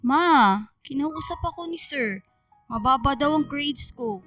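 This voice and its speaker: A woman's voice: high-pitched, expressive, unhurried, a bit bright, dry recording, clean studio sound, soft background music. A woman playing an anxious, testy teenage schoolgirl in a Filipino radio drama. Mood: pleading.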